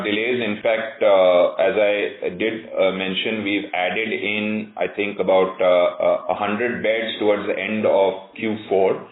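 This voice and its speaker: A man with a lowish voice speaks as a company executive on an earnings call. He is measured and careful in corporate phrasing. The sound is a thin, echoey conference phone line. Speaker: company executive answering analysts. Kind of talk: earnings call question-and-answer.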